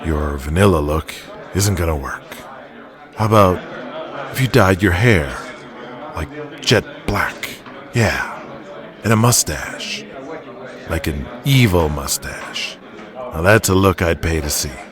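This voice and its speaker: Deep, commanding wrestling promoter acted by a man. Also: lively intonation, slow pace, pauses often, a crowd in the background. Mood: pushy. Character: shrewd, controlling male pro-wrestling promoter, commanding boss. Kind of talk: audio drama acting.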